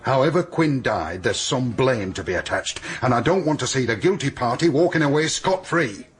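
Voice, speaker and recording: A man's voice: medium pitch, animated, normal speed, breathy edge. An actor playing a stiff, insistent male academic in a radio drama, formal and precise. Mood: insistent, grave.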